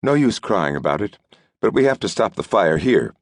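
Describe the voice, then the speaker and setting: A man voicing a young lord who commands a fire brigade in an audiobook, formal, brisk and decisive. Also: fairly low pitch, sing-song, no room sound, clean studio sound, pitched above the performer's own voice. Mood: brisk, practical. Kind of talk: audiobook character dialogue.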